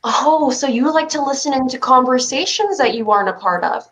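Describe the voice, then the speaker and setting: Casting: woman as hot-headed, suspicious kid in a stage play, fairly high voice, defiant. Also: animated, fairly quick, fairly bright tone. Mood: sceptical. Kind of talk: staged reading of a play over video stream.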